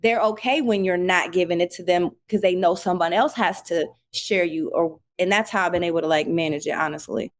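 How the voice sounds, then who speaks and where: A woman's voice: lowish voice, fairly quick, hardly any pauses. Chatty woman in her forties, storytelling. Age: 40s. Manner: animated storyteller acting out quoted remarks, many "like" fillers. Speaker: podcast guest. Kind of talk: casual conversational interview.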